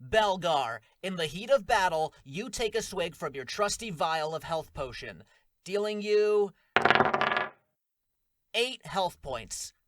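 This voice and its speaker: A man's voice: sits high, expressive. A man playing a nerdy, grandiose tabletop game master in an audio drama, with theatrical delivery. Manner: theatrical, drawn-out.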